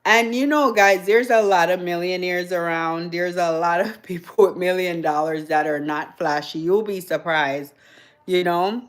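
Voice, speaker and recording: A woman's voice: lowish voice, steady tone, unhurried. A woman from Jamaica with a Jamaican accent, chatting casually with viewers on her livestream, rambling and laughing often. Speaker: livestream host. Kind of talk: casual live chat with viewers.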